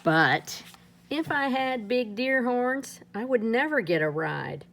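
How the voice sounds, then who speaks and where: A woman reading a rhyming children's picture book aloud in a playful, lilting way, her pitch swinging up and down. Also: very animated, takes it slowly, fairly bright tone. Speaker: storybook reader.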